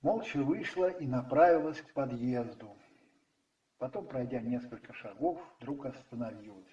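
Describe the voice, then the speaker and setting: A man narrating a radio play dramatically from a script, in measured phrases with pauses. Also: medium pitch, expressive, mellow, highs cut off. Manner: measured, pausing between phrases. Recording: roomy echo, distant, faint music behind.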